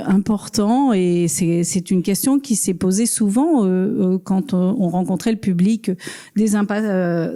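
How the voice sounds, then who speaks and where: A woman's voice: mid-range voice, warm tone. A woman hosting a book club, asking an author questions with hesitant, searching phrasing and many fillers.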